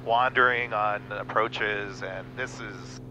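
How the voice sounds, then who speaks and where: A man piloting a small plane, talking casually and haltingly over a headset in the cockpit. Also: fairly low pitch, steady tone, over a noisy crowd. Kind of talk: casual cockpit chat with radio calls. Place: small airplane cockpit in flight. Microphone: aviation headset. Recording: muffled headset audio, clear cockpit noise. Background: engine hum and radio traffic.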